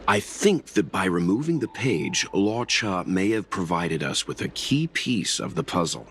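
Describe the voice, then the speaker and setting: A man playing a calm, scholarly middle-aged mentor in a video game, measured and dryly wry in his speech. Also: low voice, a little hiss or hum, full character voice. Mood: thoughtful. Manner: measured, reasoned, dryly amused.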